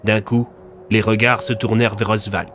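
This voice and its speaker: A man's voice: lowish voice, flat delivery, moderate pace, fairly bright tone, slight background noise. A man narrating a scripted audio fiction story in a dramatic storytelling style. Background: music.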